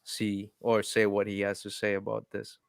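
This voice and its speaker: A man talking in a casual podcast conversation, reflective and halting, often restarting his sentences. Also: on the low side, monotone, vocal fry, no room sound, clean studio sound.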